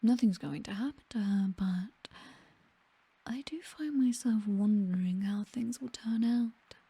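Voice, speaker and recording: A woman's voice: mid-range voice, no room sound. Whispering British vampire mistress, acted by a woman. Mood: condescending reassurance. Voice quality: breathy, whispered. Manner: slow, teasing, softly commanding. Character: possessive, teasing female vampire mistress, condescending and seductive. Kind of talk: ASMR roleplay, intimate whispered acting. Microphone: close microphone, dry.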